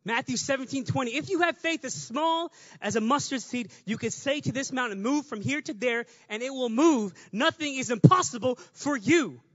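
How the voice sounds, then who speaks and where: A man preaching a lively, conversational sermon, talking fast and rising to shouts at the climax. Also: very high-pitched, no room sound, dull audio.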